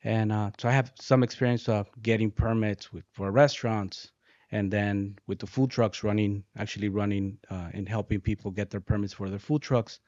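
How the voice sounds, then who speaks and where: A low-voiced man from Mexico in his forties, speaking as an interview guest in a soft, hesitant way, filling pauses with uh and um. The audio is dry and slightly dull, close and without room sound. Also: steady tone, dry recording. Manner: soft-spoken, hesitant, many fillers. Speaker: guest, head engineer. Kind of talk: casual interview talk.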